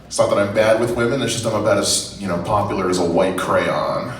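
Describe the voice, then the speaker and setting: A man's voice: lowish voice, a little fast. A man of thirty doing a self-deprecating stand-up set on a comedy club stage, rambling with fillers and restarts. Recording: roomy, distant, faint noise.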